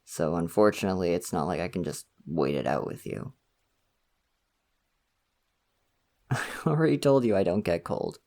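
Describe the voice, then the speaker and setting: Man playing bashful male college crush, ASMR roleplay, casual and rambling, awkwardly flirty, animated intonation, crackly vocal fry. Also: low voice, fairly quick. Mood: warm, reluctant. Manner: hesitant, rambling, chuckling self-consciously. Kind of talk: intimate ASMR roleplay, casual conversational acting.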